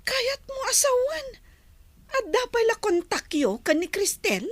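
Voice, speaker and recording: Very high-pitched, quarrelsome woman played by an actress. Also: very animated, a little slow, dry recording, full character voice. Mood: suspicious. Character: shrill, quarrelsome woman, suspicious and easily exasperated. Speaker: actor in an audio drama. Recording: dry, with music underneath.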